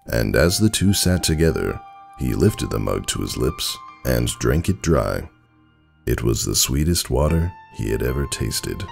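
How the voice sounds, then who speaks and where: A man narrating a story as a dramatic scripted reading, with a gravelly, husky voice and expressive literary delivery. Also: a bass voice, a little slow, faint music behind.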